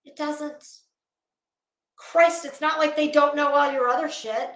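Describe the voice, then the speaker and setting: A woman's voice: high-pitched, steady tone, a little slow, fairly bright tone, echoey room. A woman delivering an opinionated online commentary rant, blunt, scolding, emphatic and sweary. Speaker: online commentary video host.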